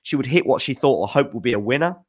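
Casual man thinking aloud, mid-pitched. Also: lively intonation, fast talker, slightly rough. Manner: thinks aloud, off-the-cuff and enthusiastic. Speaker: tennis news host answering viewer questions. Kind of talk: casual spoken commentary.

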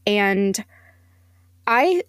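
A woman's voice: medium pitch, animated, very slow, smooth, dry recording. A woman with a mid-range voice talks as an interview guest on a podcast. She is earnest and emphatic, choosing her words articulately. The sound is very dry, with little room around her. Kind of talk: conversational interview.

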